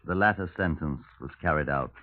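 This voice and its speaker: An actor playing a stern, formal police detective in a 1950s radio drama. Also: male voice, very deep voice, fairly quick, heavy background noise. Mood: grave, factual. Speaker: narrator and detective. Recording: tinny narrow-band 1950s radio.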